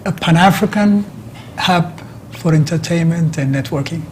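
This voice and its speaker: A middle-aged man from Ethiopia with an Ethiopian accent, answering interview questions conversationally in a halting way, repeating words as he explains. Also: on the high side, slight background noise. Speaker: interview guest, activist.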